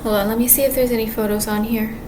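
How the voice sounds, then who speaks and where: Woman, soft quiet voice, slightly high, halting casual vlog talk; audible background ambience. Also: flat delivery. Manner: rambling, halting, many restarts and fillers. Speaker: vlogger. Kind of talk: casual monologue to camera. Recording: clear background ambience noise.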